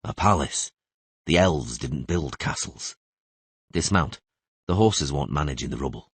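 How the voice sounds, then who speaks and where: A man's voice: very deep voice, lively intonation, unhurried, breathy edge, no room sound, voice pushed down, exaggerated voice. A man voicing a stern, guarded monster hunter and patient mentor in a fantasy audiobook. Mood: somber. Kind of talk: audiobook character voice.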